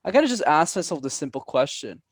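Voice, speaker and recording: A young man being interviewed as a public speaking coach, speaking with clear, deliberate enunciation in a coaching tone. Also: fairly high pitch, very animated, no room sound, studio quality. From Canada. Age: young adult. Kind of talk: podcast interview.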